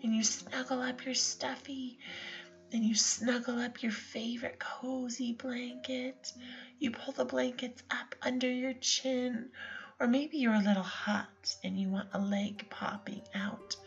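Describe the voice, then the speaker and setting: Whispery woman with a slow, soothing lull. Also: a little high, steady tone, gravelly. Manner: soft and soothing, often whispered. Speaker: bedtime meditation guide. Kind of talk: guided sleep story for children. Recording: slightly dull recording with lullaby music.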